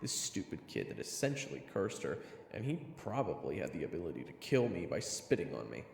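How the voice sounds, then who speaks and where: Man playing a gravelly, wry young adventurer. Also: low voice, very animated, a little fast, darkish tone, echoey room, big-room sound, a little hiss or hum, exaggerated voice. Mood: anxious, wry. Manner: dramatic, wry. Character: young male adventurer narrating his own story, sardonic, nervous. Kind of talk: first-person fantasy story narration and acting.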